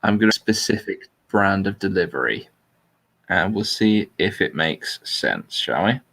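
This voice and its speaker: A man's voice: low voice, big pitch swings, a little slow, gravelly, a bit bright, breathy edge. A man hosting a casual talk, chatting and reading text aloud in a dry, informal way. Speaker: talk show host reading out a transcript. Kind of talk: casual chat and deliberately flat reading aloud.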